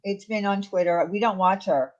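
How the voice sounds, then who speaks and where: A woman's voice: medium pitch, normal speed, darkish tone, lo-fi sound. A woman hosting a livestream, chatting casually with viewers and responding to their messages. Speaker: livestream host. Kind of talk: casual chat with live viewers.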